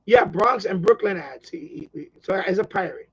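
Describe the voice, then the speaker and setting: A man's voice: sits high, animated, normal speed, a bit bright, slightly rough, dull audio. A man hosting a hobby live stream, chatting casually in a rambling way and often repeating himself.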